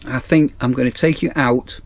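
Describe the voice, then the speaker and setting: A man's voice: medium pitch, fairly even intonation, moderate pace, no echo. A man in a campsite warden role, chatting casually outdoors as he reviews a torch on an action camera. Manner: chatty, rambling, repeats "as I say". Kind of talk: casual product review vlog.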